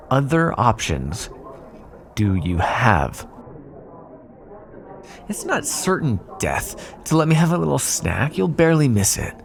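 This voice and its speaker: A man's voice: medium pitch, vocal fry. A man playing a sly, teasing vampire in an intimate, sarcastic ASMR roleplay monologue. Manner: sarcastic, teasing, drawling asides. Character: sly teasing male vampire bargaining with his hunter rival.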